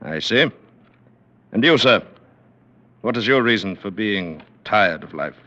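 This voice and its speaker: A stern gentleman presiding over a sinister club, with a low voice and formal, imperious delivery. It is old-time radio drama with coolly menacing poise, on a dull vintage recording. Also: expressive, a little slow. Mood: stern, probing.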